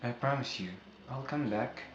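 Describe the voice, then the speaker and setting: A man's voice: mid-range voice, normal speed, natural voice. An actor playing a man devoted to his lover, with an earnest, imploring delivery. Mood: tender, soothing. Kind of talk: melodramatic stage acting. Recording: dull, noisy, echoey recording.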